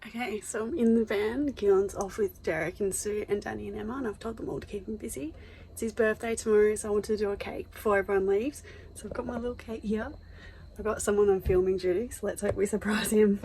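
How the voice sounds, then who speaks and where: A woman's voice: medium pitch, steady tone, quick pace, warm tone, a little hiss or hum, low-quality recording. Chatty woman vlogging. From Australia. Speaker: travel vlogger. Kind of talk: casual vlog narration.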